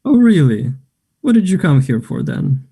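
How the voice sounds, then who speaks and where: A pushy young man from a stage play played by a man at a table read, with a husky, gravelly edge. His tone is mellow and low-key, but he presses and needles like a spurned lover. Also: big pitch swings, moderate pace, heavily acted. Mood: bitter, sarcastic.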